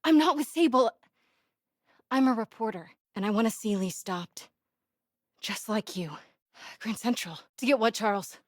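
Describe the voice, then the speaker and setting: A woman playing a determined, brave young reporter in a video game, breathy and often hushed. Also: a little high, normal speed, pauses often, no room sound, studio quality, lightly acted. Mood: urgent, insistent. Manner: hushed, often whispering to herself.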